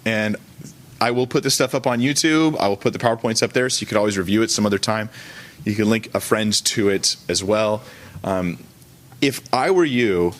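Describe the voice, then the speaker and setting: Man preaching in a conversational style. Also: mid-range voice. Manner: conversational and colloquial, with self-corrections. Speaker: pastor teaching a church service. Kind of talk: informal teaching sermon.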